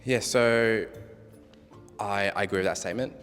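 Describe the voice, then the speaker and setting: A man's voice: fairly low pitch, moderate pace, fairly bright tone. A man, a panel guest, speaking in a reflective, agreeable way in a conversational discussion. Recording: long reverb, faint background music.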